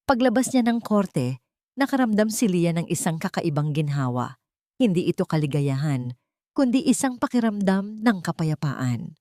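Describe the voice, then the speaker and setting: A woman's voice: on the low side, mellow, no echo, clean studio sound. A woman reads a scripted dramatic story as its narrator.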